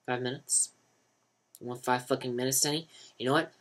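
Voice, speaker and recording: A woman's voice: very deep voice, steady tone, fairly quick. A woman voicing a menacing male drug dealer who threatens, swears and breaks into screams.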